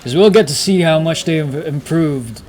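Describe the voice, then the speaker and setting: A man's voice: fairly high pitch, expressive, normal speed, darkish tone. Guy game streamer, lightish voice, laid back slang talk, music going behind. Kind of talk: casual game reaction commentary. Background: video game music.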